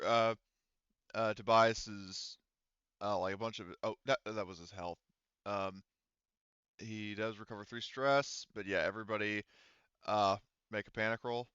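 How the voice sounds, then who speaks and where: Low, sharp-toned male game master, slightly muffled. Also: clear, resonant tone, no room sound. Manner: hesitant, groping for words. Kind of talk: casual tabletop game narration.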